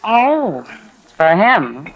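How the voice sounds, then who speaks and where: On a dull-sounding vintage radio recording, a worldly saloon woman. She is frank and wry, with a lively, moving delivery in a western drama. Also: mid-range voice, very slow, full character voice. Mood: wry, friendly. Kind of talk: 1950s radio western acting. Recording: muffled old radio recording.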